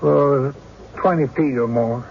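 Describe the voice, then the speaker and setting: A man's voice: mid-range voice, slow, creaky. An actor playing an uneasy, plain-spoken country man in an old-time radio drama, with a hesitant rustic manner and a muffled recording. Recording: muffled, faintly noisy radio broadcast.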